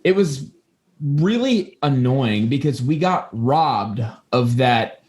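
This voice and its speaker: A man's voice: medium pitch, expressive, slow pace, darkish tone. A young man hosting a podcast with casual, profane banter and rambling anecdotes, in a very echoey room. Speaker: podcast host.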